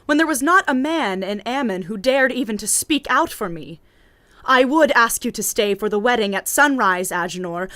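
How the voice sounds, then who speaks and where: An actress playing a proud, defiant young princess in an audiobook, speaking in an indignant, cutting way. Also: female voice, on the high side, fairly bright tone, no room sound. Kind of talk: theatrical audiobook acting.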